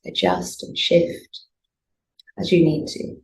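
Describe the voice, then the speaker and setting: A woman teaching yoga nidra, leading a guided meditation in a gentle, soothing, unhurried way with pauses. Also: low voice, wildly swooping pitch. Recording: clear background noise, room ambience.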